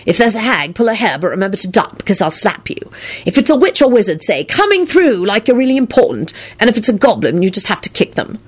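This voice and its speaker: A woman's voice: medium pitch, sing-song, quick pace, husky. A woman voicing a bossy, hot-tempered and anxious young woman in an audiobook, urgent and emphatic. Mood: bossy, prim. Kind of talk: audiobook character voice.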